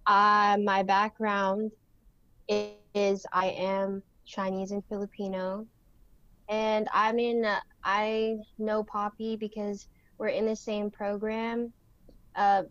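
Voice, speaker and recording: An eighteen-year-old speaker introducing themself as a roundtable guest, in a flat, unhurried way. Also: medium pitch, clear, resonant tone, dry recording. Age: teens. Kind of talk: casual discussion. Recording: dull, muffled dry recording.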